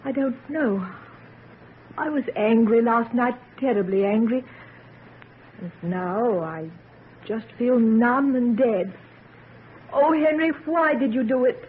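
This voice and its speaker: A woman's voice: on the high side, takes it slowly, pauses often. An actress playing a proud, wounded young society woman in an old-time radio drama. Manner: wounded, proud, clipped answers. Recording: noisy, narrow-band vintage radio recording.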